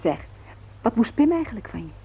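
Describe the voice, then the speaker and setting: An actress playing a supportive, concerned wife in a 1960s radio drama, gentle and breathy. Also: female voice, fairly high pitch, very animated, a little fast, heavily acted, poor audio. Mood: caring, concerned. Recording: old radio play recording.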